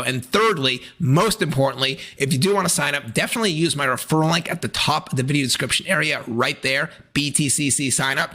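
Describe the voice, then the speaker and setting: A man hosting a casual step-by-step tutorial, plain-spoken, with a hoarse, congested voice from a cold. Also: medium pitch, sharp tone. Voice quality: raspy, congested. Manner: plain-spoken, instructional, colloquial. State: hoarse, losing his voice from a cold. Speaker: host of a crypto exchange tutorial channel.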